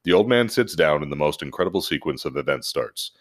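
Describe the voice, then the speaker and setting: A man's voice: a bass voice, lively intonation, quick pace. A man hosting a podcast in a casual, jokey way with plenty of swearing. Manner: profane, jokey. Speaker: podcast host. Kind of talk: casual podcast chat and animated story reading.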